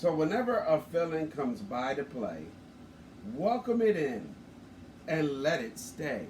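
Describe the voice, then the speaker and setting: Lively sing-song man reading aloud. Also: a little high, measured pace. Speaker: co-reader. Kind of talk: reading a picture book aloud. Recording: echoey room, far from the mic, faint noise.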